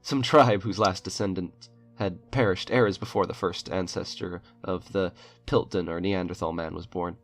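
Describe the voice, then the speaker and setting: Man, very low pitch, gravelly creak, dramatic horror narration, faint music behind. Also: fairly even intonation, moderate pace, few pauses. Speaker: narrator reading a horror short story. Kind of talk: dramatic audiobook-style narration. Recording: music under the voice. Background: eerie background music.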